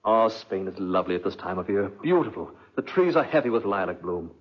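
Casting: an impulsive young romantic, fairly low husky voice, theatrical vintage radio style. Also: male voice, animated, a little fast. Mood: eager, coaxing. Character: ardent, impulsive young male lover. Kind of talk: 1940s radio acting. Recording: dull, muffled 1940s radio recording.

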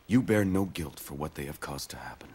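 A man playing a grave, consoling Trojan prince in a video game, earnest and measured. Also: extremely low pitch, lively intonation, a little mellow, breathy edge, heavily acted. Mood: grave comfort. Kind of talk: dramatic video game acting.

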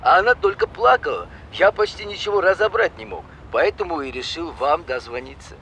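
An actor playing a good-natured, talkative grandfather in an audio drama phone dialogue, gentle and sometimes hesitant. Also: fairly high pitch, lively intonation, fairly quick, a little hiss or hum, rough recording. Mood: animated, friendly. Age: middle-aged or older.

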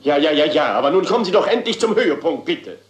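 An actor playing a pompous, impatient theatre manager in a German crime radio play, grandiloquent and condescending in delivery. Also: male voice, on the high side, very animated, fast talker, roomy sound.

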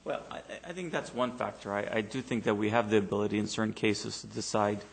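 A man's voice: lowish voice, quick pace, gravelly, breathy edge. A man on a city council arguing his point in a meeting, hesitant and plainspoken, often repeating words, in a council chamber.

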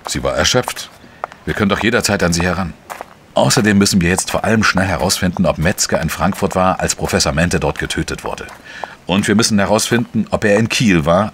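An actor playing a world-weary, wry male investigative journalist, narrating a crime audio drama in a dry, measured way. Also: sits low, expressive, a bit bright, slight background noise. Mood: brisk, instructing. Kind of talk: scripted first-person crime drama narration. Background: music.

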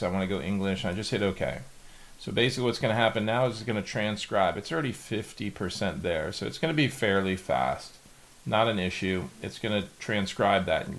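A middle-aged man, explaining software step by step in a casual, plain tutorial style. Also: lowish voice. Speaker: tutorial host. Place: indoor home office.

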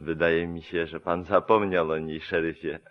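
An actor as an uneasy deputy, dull old recording. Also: male voice, low-pitched, flat delivery, normal speed, dry recording, faint music behind, natural voice. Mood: quiet, reproachful. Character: uneasy, conscientious police deputy. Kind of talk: 1940s radio drama acting. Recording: dull vintage radio play, crowd behind.

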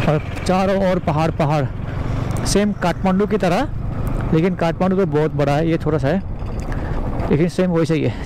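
A man with a fairly high voice chats to his viewers as he rides a motorbike. He is casual and talkative and keeps exclaiming about the rough roads. Wind and engine noise come through clearly on the camera mic. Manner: chatty, exclaims at bad roads. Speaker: motorcycle travel vlogger. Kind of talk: casual running commentary while riding. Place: outdoors on a moving motorbike. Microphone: helmet or bike-mounted action camera. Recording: loud road and engine noise.

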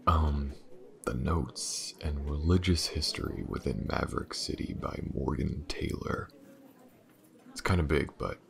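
A man playing a weary, driven detective in an audio roleplay, with a hesitant, muttering delivery and a gravelly voice. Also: measured pace, exaggerated voice. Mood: tentative, focused. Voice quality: very deep, gravelly. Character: weary, driven male detective investigating a dark mystery. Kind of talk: intimate audio roleplay acting.

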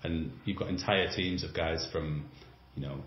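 A man's voice: very deep voice, normal speed. A man hosting a sports podcast, making long, reasoned points in a conversational way. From Britain or Ireland. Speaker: podcast host and writer. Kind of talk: podcast interview. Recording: roomy, slightly dull remote audio.

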